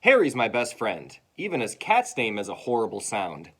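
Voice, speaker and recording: A man reading a children's story aloud in an expressive way, acting out sounds and dialogue. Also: fairly low pitch, normal speed, breathy edge.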